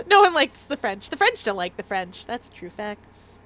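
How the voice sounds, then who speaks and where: A woman's voice: mid-range voice, very animated, a little slow, bright, forceful tone, low-quality recording. A Canadian woman with a light Maritime Canadian accent, chatting casually and giggling as she livestreams a game. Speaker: game streamer.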